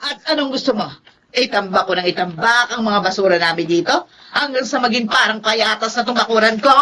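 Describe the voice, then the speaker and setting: A feisty older Filipino grandmother in a radio drama. Her tone is bright and forceful and swings widely in pitch. She is sarcastic, scornful and always ready for a fight. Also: expressive, brisk, slight background noise. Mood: taunting, furious. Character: feisty, grudge-holding older wife and grandmother.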